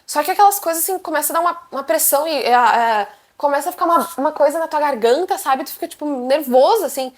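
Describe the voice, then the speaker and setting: Young brazilian girl vlogging, high voice, chatty and animated. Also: fast talker, few pauses. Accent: Brazilian Portuguese. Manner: animated, chatty, acts out quotes. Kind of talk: casual storytelling to camera.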